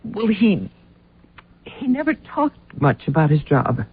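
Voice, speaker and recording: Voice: medium pitch, very animated, takes it slowly, husky, heavily acted. A performer playing a meek, evasive housewife in a radio drama, giving hesitant, guarded answers. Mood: uneasy, defensive. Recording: muffled lo-fi radio drama recording.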